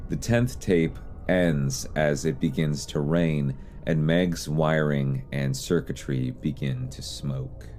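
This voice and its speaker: A man's voice: a bass voice, darkish tone, a little hiss or hum. A man hosting an explainer series in a semi-scripted narration style. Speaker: host of an analog horror explainer series.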